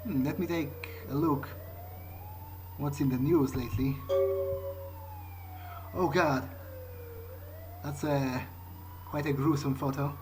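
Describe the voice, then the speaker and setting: Slow, halting male vampire musing played by a man. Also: mid-range voice, fairly even intonation, background noise, close to an everyday voice. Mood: pensive. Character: newly turned male vampire, wary, pragmatic, musing. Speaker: gamer role-playing his character. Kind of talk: in-character gameplay thinking aloud.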